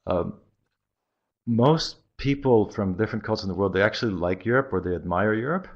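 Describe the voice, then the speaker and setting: Belgian man with a faint American accent, deep gravelly voice, muffled lo-fi line, interview guest who pauses to think. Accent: slightly American-sounding. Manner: halting, thinks aloud with many pauses. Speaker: marketing guest. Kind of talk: podcast interview. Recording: dull lo-fi audio.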